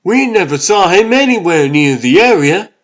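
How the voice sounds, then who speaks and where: A man's voice: high voice, animated, moderate pace. A man playing a sceptical, resentful newspaper reporter in a comic book dub. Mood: resentful, sarcastic.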